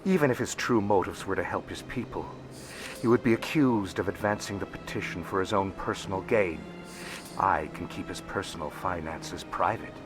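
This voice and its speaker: A man acts out a deep-pitched alien financier from a sci-fi game. He is shrewd and impeccably polite, choosing formal, discreet phrasing. Music plays clearly behind him. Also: moderate pace, lightly acted. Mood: smooth, justifying. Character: shrewd, courteous alien financier and information dealer. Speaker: financial advisor and information dealer character. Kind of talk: video game dialogue acting.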